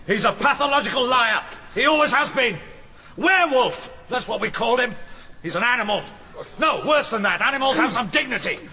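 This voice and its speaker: A man's voice: very high voice, expressive, fairly quick, pushed and bright, exaggerated voice. A man playing a bitter, explosive stepfather in a courtroom radio drama, vehement and spiteful. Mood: furious. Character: bitter, explosive adult stepfather in the witness box. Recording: reverberant hall, faint crowd.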